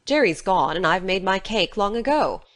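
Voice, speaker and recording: A woman's voice: mid-range voice, lively intonation, normal speed, natural voice. A woman playing a stern, practical older farm woman in an audiobook drama, scolding and matter-of-fact. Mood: exasperated, disapproving.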